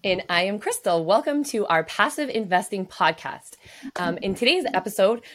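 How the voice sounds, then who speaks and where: A woman co-hosting a podcast, introducing the episode in a scripted way with a few fillers. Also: mid-range voice. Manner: scripted-sounding, uses "um" and "you know". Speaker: podcast co-host.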